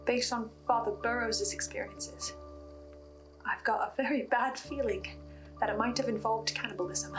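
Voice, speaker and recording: Female recap host, higher voice, scripted storytelling with personal asides, faint music underneath. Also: low-quality recording. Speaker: host of a recap and theory video on a horror podcast.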